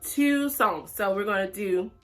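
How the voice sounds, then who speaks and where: A woman's voice: medium pitch, unhurried, faint music behind. A woman hosting a preschool storytime, playfully talking straight to the young children. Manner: playful, addresses children directly.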